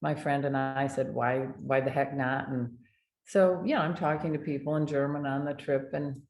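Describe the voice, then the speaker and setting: An older American woman, a dental hygienist, telling animated stories in a casual interview. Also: rumbling low, normal speed. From United States. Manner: animated anecdotes with laughter. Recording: echoey room, faint noise.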